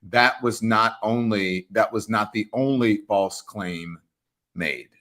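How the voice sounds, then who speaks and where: A male show host in a measured voice, reading news reports aloud to a guest. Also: fairly low pitch, bright, forceful tone.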